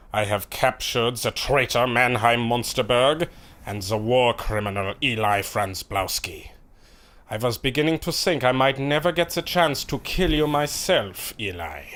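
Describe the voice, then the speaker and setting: A man playing a menacing villain commander who gloats over his captives with cold, calm threats. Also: lowish voice. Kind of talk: radio play acting.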